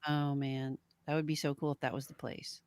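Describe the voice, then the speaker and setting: A woman's voice: rumbling low, flat delivery, fairly quick, darkish tone, smooth, no room sound. A woman co-hosting a podcast in a casual fan discussion, speaking informally and often agreeing. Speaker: podcast co-host.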